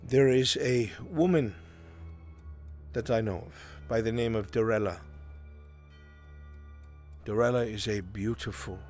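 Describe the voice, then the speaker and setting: The earnest stranger in a fantasy tavern who leans in and weighs each word with long pauses, music playing underneath, acted by a man. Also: fairly low pitch, a little mellow, lightly acted. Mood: sorrowful, earnest. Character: grave, earnest man pleading for a lost enslaved friend. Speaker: roleplayer in a live VR fantasy roleplay. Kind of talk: in-character improvised fantasy acting.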